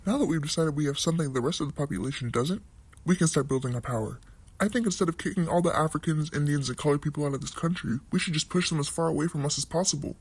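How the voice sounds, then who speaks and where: A man's voice: fairly high pitch, fast, husky. A man voicing a greedy, scheming political leader puppet in an amateur puppet show, with theatrical mock enthusiasm.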